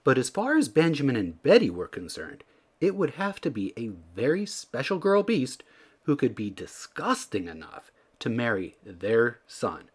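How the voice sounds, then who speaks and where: Animated male storybook narrator. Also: medium pitch, moderate pace, slightly rough. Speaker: children's story reader. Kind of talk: expressive scripted storybook narration.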